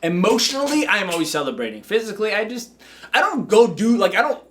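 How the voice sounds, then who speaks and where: Male coach-host, confident and upbeat, playful, slightly higher voice. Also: very animated, quick pace, a bit bright, slight background noise. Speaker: business coach and show host. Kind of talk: motivational coaching talk.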